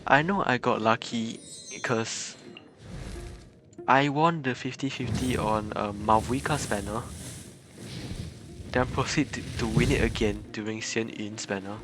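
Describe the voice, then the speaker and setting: A man's voice: medium pitch, unhurried, long pauses, music in the background. A man livestreaming a game, chatting casually with viewers, chuckling often and stammering over repeated words. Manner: chuckles often between remarks.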